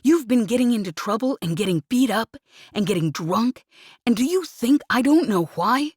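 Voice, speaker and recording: A woman reads a worn-out, guilt-ridden young man in an audiobook. He speaks flat and dull until he breaks into anger, in a slow, measured delivery recorded dry and close. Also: deep voice, slightly rough, dry recording, exaggerated voice. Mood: furious. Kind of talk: audiobook character reading.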